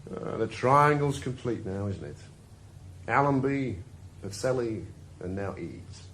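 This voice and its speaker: An actor playing a stern police officer in a 1980s radio drama. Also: male voice, medium pitch, lively intonation, measured pace, fairly bright tone, a little hiss or hum. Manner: stern, authoritative.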